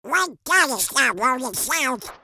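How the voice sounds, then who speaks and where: A performer voicing an excitable, easily flustered young duck in a cartoon comic dub, exclaiming and squawking. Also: high-pitched, a little slow, creaky, a little hiss or hum, exaggerated voice. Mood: alarmed, excited.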